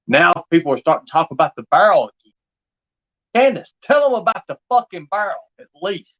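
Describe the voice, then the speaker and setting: A man's voice: high voice, big pitch swings, a little fast, a bit bright, highs cut off. A man livestreaming in an insistent, repetitive, confrontational way. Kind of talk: impassioned monologue to an absent person.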